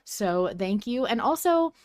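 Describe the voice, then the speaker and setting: A woman's voice: on the high side, expressive, measured pace, darkish tone, no room sound, studio quality. A woman hosting a podcast in a casual, chatty style. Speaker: podcast host.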